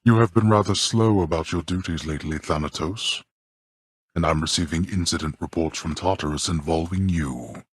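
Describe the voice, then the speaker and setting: Game casting: stern god of the underworld, bottomless bass with a husky rasp, imperious, disdainful, archaic in diction. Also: male voice, moderate pace, no room sound, studio quality. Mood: cold reprimand. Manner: haughty, scornful, archaic formal diction. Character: stern, contemptuous god of the dead, imperious father. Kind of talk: video game character voice acting.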